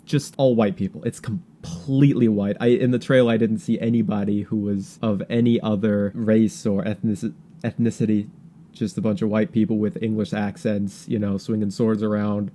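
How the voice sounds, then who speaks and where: A man hosting a podcast with casual political commentary, talking in a halting, rambling way with stammered repeats. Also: on the low side, little pitch movement, mellow, slight background noise. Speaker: podcast host.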